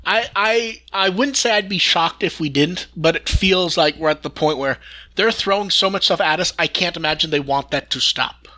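A man with a fairly high, very bright voice hosts a casual fan news discussion. He talks enthusiastically and rambles as he goes through items. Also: normal speed. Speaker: podcast host.

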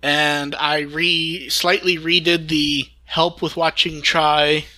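A man's voice: on the high side, level tone, takes it slowly, bright, forceful tone. A man hosting a casual podcast news discussion, often restarting his phrases, on a close dry mic. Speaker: podcast host.

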